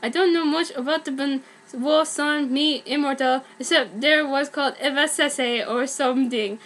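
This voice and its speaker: A woman's voice: sits high, moderate pace, a bit bright. A woman giving a mock-dramatic, theatrical amateur reading of a story. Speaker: reader of fan fiction.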